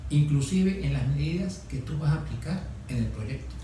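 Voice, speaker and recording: A man's voice: on the high side, animated, normal speed, dark tone. A man answering conversationally as a consultant guest in an interview. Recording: very echoey, distant mic, noisy room.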